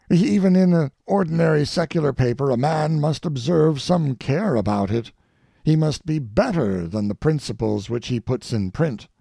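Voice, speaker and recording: A man reads a formal after-dinner speech as an audiobook narrator. His pitch swings widely for emphasis, with measured pauses and a gravelly creak, recorded close and dry. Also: warm tone, no room sound. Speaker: audiobook reader.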